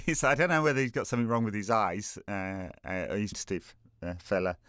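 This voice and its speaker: A man's voice: fairly low pitch, a little fast, fairly bright tone, clear, resonant tone, dry recording, studio quality. A man co-hosting a podcast, chatting casually about football and rambling with many fillers and restarts. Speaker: podcast co-host.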